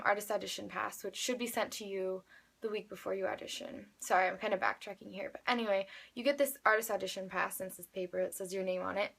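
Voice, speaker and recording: A young woman of eighteen speaks with an American accent in a mid-range voice with plenty of vocal fry. She tells her story casually, as if chatting to a friend. Her delivery rambles, with frequent filler words and backtracking. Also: medium pitch, fairly bright tone. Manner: chatty, rambling, youthful filler-laden speech. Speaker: vlogger, amateur singer. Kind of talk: casual personal storytelling to camera.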